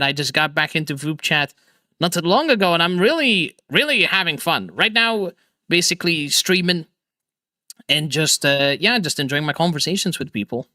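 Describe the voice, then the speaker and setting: A man hosting a casual video chat stream, energetic and laughing often, with quick restarts. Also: fairly high pitch, no room sound, clean studio sound. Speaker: streamer and host.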